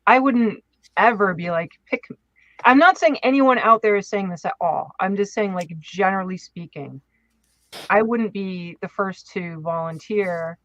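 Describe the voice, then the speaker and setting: A man's voice: high-pitched, measured pace, a bit bright, no room sound. A man co-hosting a casual podcast chat, recorded with slightly dull, limited audio.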